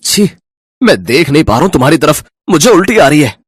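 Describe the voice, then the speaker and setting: A man's voice: fairly high pitch, very animated, moderate pace, slightly rough, no room sound, clean studio sound. A man playing a shallow, fickle young husband in a cartoon story, with exaggerated sneering and gushing delivery. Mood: disgusted. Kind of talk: Hindi animated moral story dialogue.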